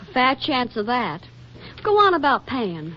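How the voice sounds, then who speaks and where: An actress playing a sweet, devoted, slightly insecure housewife in a 1940s radio comedy, tender and earnest. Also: female voice, fairly high pitch, big pitch swings, unhurried, sharp tone, a little hiss or hum, exaggerated voice. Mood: attentive. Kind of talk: 1940s radio drama acting. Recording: dull vintage radio drama recording.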